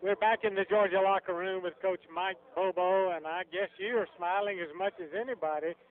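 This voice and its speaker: A man with a fairly high voice does a locker-room sports radio interview. He is chatty and builds up to his questions at length. The sound is thin and lo-fi, like an old radio broadcast. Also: fairly even intonation, fairly quick. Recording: muffled lo-fi radio broadcast recording.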